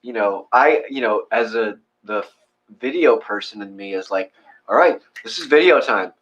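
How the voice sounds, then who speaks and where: A man's voice: fairly low pitch, lively intonation, moderate pace, a bit bright, dull audio. A man chatting casually as co-host of a meditation session, rambling and joking at his own expense. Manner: joking, rambling, self-deprecating. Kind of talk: casual chat.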